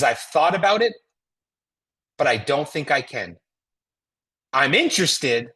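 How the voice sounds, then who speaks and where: Husky, animated American woman coaching. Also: fairly low pitch. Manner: emphatic, rhetorical questions, folksy address. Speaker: network marketing trainer. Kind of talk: motivational sales coaching talk.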